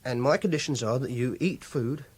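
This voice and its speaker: A man's voice: medium pitch, normal speed, breathy edge, lightly acted. An actor playing an awkward, obsessive young captor in a radio drama, hesitant and insistent in his speech. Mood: nervous bargaining. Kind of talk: radio drama acting with confessional narration.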